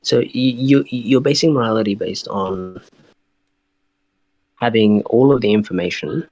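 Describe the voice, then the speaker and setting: A man in an online voice chat, asking questions haltingly, searching for words with fillers. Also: lowish voice, a little mellow, no echo, dull audio. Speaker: chat room participant.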